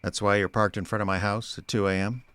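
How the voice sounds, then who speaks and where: An actor playing a wry, weary male private investigator, deadpan and sardonic, in radio drama narration and dialogue. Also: fairly low pitch, fast, clear, resonant tone, no echo, lightly acted. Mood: dry, probing. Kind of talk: first-person noir narration and dry radio drama dialogue.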